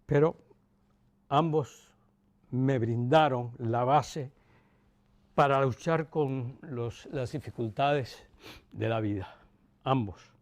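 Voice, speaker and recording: A man in his sixties with a Cuban accent softened by Castilian Spanish, reminiscing in an interview in a measured way, with pauses and emphatic repetition. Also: medium pitch. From Cuba. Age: 60s, about 64. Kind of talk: reflective personal reminiscence.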